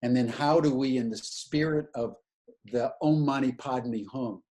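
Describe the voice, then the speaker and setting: A man's voice: mid-range voice, a little slow, a little mellow. Earnest man lecturing on a video call. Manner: earnest, searching, many restarts. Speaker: webinar lecturer. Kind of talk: reflective spiritual lecture.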